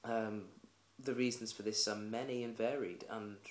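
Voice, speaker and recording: A man's voice: fairly low pitch, steady tone, normal speed. A man giving blunt, opinionated casual commentary to camera for his own video blog, speaking indoors at home. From England. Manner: blunt, opinionated, plain-spoken. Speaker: vlogger. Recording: roomy echoey room.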